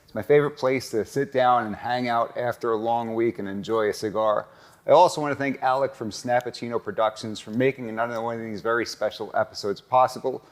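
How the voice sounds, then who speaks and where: A man's voice: medium pitch, fairly even intonation, fairly quick. A man hosting a casual interview podcast, upbeat and promotional, recorded in a cigar lounge. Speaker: podcast host and lawyer.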